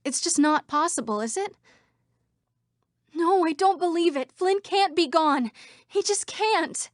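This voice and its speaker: A young woman voicing an earnest female computer program citizen in a video game, with crisp, dramatic delivery. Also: extremely high pitch, animated, a little slow, no room sound, clean studio sound. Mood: anxious, pleading.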